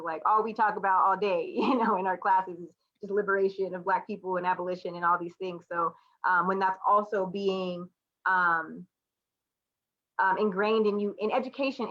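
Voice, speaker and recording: A dull, lo-fi recording carries a woman from the United States with a medium-pitched voice. She speaks as a panelist in a casual, reflective discussion. Her delivery is candid and conversational, with emphatic asides. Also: a bit bright. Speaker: artist, educator and student panelist.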